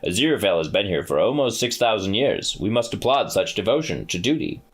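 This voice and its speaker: Man playing a pompous self-assured archangel boss, husky, patronizing. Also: fairly low pitch, very animated, fairly quick. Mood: smug. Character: breezy, pompous male archangel official.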